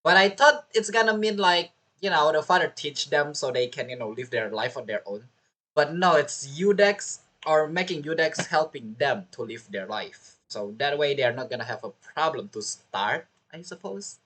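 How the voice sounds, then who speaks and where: A woman's voice: low-pitched, lively intonation, moderate pace, fairly bright tone. A woman giving casual game commentary as a streamer, chatty and reacting aloud in loose fragments.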